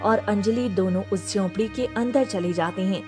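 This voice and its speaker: A woman's voice: medium pitch, moderate pace, over music. A woman reading scripted narration for a story as its narrator.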